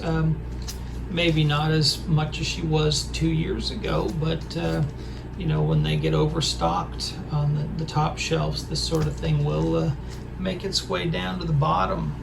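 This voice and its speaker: A hoarse man with a raspy voice talks in a halting, hesitant way while showing off records he has collected. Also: a little high. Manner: halting, hesitant, full of pauses. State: hoarse from a throat injury. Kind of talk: casual record haul show-and-tell.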